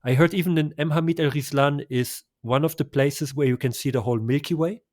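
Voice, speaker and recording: A man's voice: on the high side, a little fast, a little mellow, no echo. Enthusiastic male travel guest, dry sound. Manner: enthusiastic, effusive recommendations with small laughs. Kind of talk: conversational travel interview.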